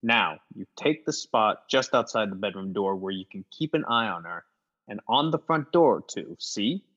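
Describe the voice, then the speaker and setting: A man's voice: fairly low pitch, animated, normal speed, a bit bright, slightly rough, lo-fi sound. A man playing a tough, commanding gangster in a radio play, giving clipped, slangy orders. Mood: brisk, commanding. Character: brisk, commanding tough gangster plotting a job. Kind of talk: classic radio gangster acting.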